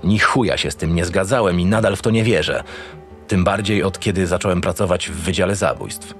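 A man plays a cynical homicide detective in a crime audio drama. His voice sits fairly low, gruff and sardonic, with irritation and swearing never far away. Music plays underneath. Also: brisk, close to an everyday voice. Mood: irritated, scornful. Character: cynical, irritable male homicide detective, foul-mouthed boss. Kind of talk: first-person audio drama narration and dialogue.

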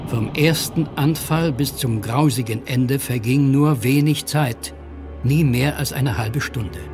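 An actor as the grave, solemn storyteller of a gothic horror audio drama, in measured scripted narration. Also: male voice, medium pitch. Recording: music behind.

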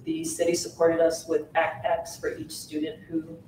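Woman, school administrator, measured report, roomy echo, distant mic. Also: deep voice, completely flat delivery, a little mellow, slight background noise. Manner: measured, reads out figures with fillers. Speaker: assistant superintendent. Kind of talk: meeting report. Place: large meeting room with long tables. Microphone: table mic from far off. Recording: echoey boardroom picked up from far off.

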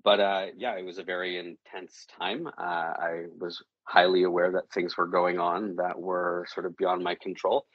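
A man's voice: deep voice, level tone, normal speed, few pauses, no echo, highs cut off. A man from Chile, a guest answering interview questions thoughtfully, with frequent fillers and repeated words. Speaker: guest, creative director.

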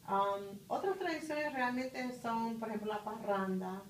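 Middle-aged woman interviewee, thoughtful, full of fillers; distant phone recording in a roomy office. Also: fairly high pitch. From Puerto Rico. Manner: thoughtful, pauses with many "ah" and "este" fillers. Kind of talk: conversational interview answers. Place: office conference room. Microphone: far phone camera. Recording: roomy, distant, faint hiss.

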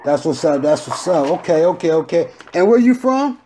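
Male podcast host, casual, higher-pitched, repetitive affirmations, remote line. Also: very animated, normal speed, dark tone, husky. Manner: loose, repeats "okay, okay" and "I got you". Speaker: interview host. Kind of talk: casual interview.

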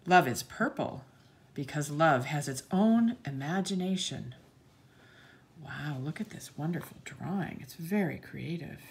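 Female story reader for young kids; slightly low register, swooping expressive delivery, light room noise. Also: unhurried, vocal fry. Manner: expressive, addresses children directly. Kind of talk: read-aloud storytelling for young children.

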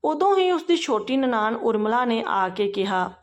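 A woman's voice: fairly high pitch, very animated, normal speed, highs cut off. A woman narrating an emotional family story from a script.

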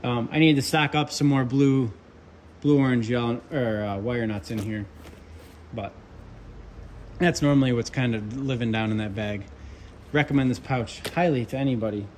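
A young man, an HVAC controls tech, chatting casually through his tool bag at a workbench, relaxed with lots of ums and asides. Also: mid-range voice, normal speed, pauses often, a little hiss or hum. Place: workshop with pegboard and workbench.

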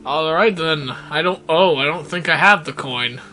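A man co-hosting a video game show, joking casually and exclaiming excitably as he plays. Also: fairly high pitch, moderate pace. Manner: excitable, jokey, prone to exclaiming. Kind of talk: casual, joking gameplay commentary.

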